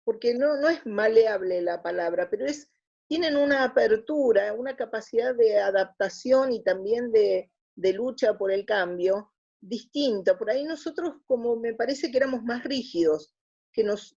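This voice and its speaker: Casting: middle-aged Argentine woman, gravelly low-key voice, hesitant conversational interviewee, on dull lo-fi audio. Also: normal speed, darkish tone. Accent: Argentine Spanish. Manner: hesitant, searching for words. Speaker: interview guest. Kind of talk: casual reflective interview answers.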